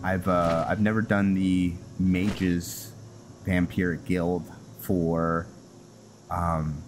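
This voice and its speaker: Slow, deep-voiced man narrating gameplay. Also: level tone. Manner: halting, thinking aloud. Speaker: gaming video host. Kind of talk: casual game commentary while playing. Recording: loud game music behind the voice. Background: loud video game music.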